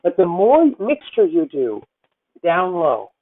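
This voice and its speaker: A woman's voice: on the low side, big pitch swings, slow pace. A woman in her fifties coaching a singer over a video call, effusive and rambling, calling her student honey. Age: 50s. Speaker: voice teacher. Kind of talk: masterclass coaching. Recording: tinny video call audio.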